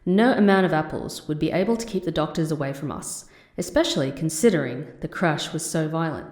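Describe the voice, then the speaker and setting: A woman playing a composed, wry former agent working in a call centre in an expressive audio drama. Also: sits low, fairly quick, roomy sound. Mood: somber, reflective. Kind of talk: audio drama narration and dialogue.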